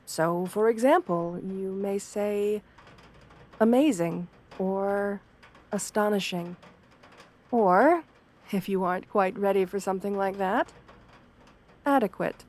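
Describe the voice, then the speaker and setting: Woman acting as wolf girl therapist, soft-spoken, mid-pitched, kind, patient, encouraging, ASMR roleplay. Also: lightly acted. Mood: encouraging, instructive. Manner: gentle, reassuring, measured counselling talk.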